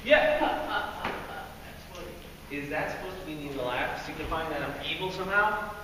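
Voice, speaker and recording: A teenage boy giving a lively devotional talk to a group in a large room with no microphone, speaking loudly and energetically and laughing often. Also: on the high side, normal speed. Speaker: youth speaker. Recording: echoey hall, far from mic, faint noise.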